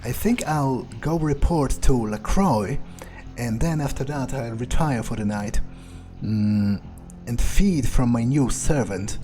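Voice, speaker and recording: Mellow man musing through a game. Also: mid-range voice, slow, soft background music. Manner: musing, casual, hesitant. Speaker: gamer playing in character. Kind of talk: in-character game playthrough narration, thinking aloud.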